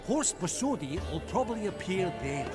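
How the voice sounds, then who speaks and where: An actor playing a genteel, teasing wealthy socialite in a video game, chatty and affectedly polite. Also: male voice, high-pitched, sing-song, moderate pace. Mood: eager. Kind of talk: video game cutscene acting. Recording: loud music behind the voice.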